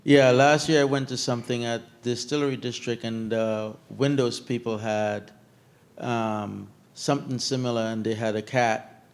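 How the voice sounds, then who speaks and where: A man's voice: medium pitch, fairly even intonation, normal speed. A man in the audience making a casual, slightly hesitant comment during a conference Q&A. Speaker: audience member.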